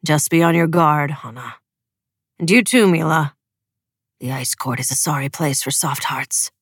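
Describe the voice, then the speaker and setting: A woman voicing a stern, wary middle-aged military father in an audiobook, speaking in a guarded, measured mutter. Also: deep voice, breathy edge, no room sound, studio quality, heavily acted. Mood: warning.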